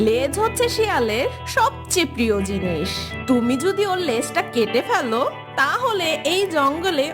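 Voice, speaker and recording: A woman voicing a shrewd, bossy crow friend giving emphatic advice in a children's cartoon. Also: high voice. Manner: instructive, emphatic. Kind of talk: children's cartoon story dialogue. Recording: music behind the voice.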